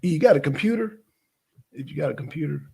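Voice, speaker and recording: A man hosting a live stream in an informal, halting, casual chat style. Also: fairly high pitch, lively intonation, gravelly, dark tone, breathy edge.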